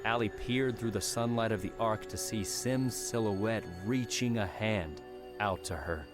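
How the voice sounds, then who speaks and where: Low-voiced male audiobook narrator. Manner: measured, dramatic. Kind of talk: scripted narration. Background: music score.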